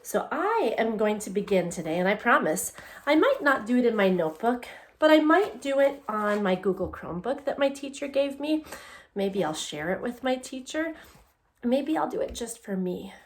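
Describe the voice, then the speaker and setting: A woman teacher reading a picture book aloud to young children, encouraging and deliberate, putting questions straight to her listeners. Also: fairly high pitch, very animated. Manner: encouraging, asks questions and answers them.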